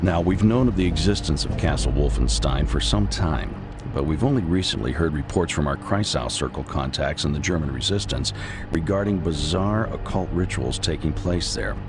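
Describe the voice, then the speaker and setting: Deep-voiced formal military intelligence officer. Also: male voice, moderate pace, background noise. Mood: businesslike. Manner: formal, businesslike briefing delivery. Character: crisp American military intelligence officer briefing his superior. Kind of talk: video game cutscene briefing.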